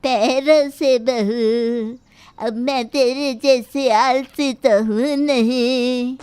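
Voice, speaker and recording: A woman playing a sharp-tongued old grandmother-in-law in a moral story, pointed and reproachful. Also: sits high, fairly even intonation, very slow, clean tone, dry recording. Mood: sarcastic. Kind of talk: scripted Hindi moral story acting.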